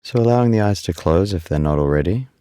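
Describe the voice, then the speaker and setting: A man leading a guided body scan meditation in a calm, unhurried, soothing way, leaving long pauses between instructions. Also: low-pitched, smooth, no room sound, clean studio sound.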